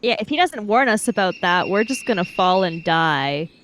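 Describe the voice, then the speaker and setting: A woman's voice: mid-range voice, animated, moderate pace, fairly bright tone, a little hiss or hum. A woman playing a tabletop roleplaying game, chatting casually and bantering about the story.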